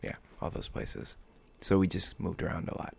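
A man's voice: low-pitched, big pitch swings, normal speed, vocal fry, slightly rough. An American man talking casually as a podcast guest, with informal, laid-back phrasing. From United States. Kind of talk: casual conversational interview.

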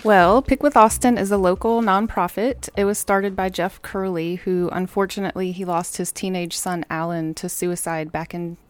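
A woman's voice: lowish voice, steady tone, moderate pace, hardly any pauses, clear, resonant tone, no echo. A woman guest on a talk show, a nonprofit board member, explaining earnestly and often agreeing, with some fillers. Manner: earnest, affirming, with frequent fillers. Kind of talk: interview answers.

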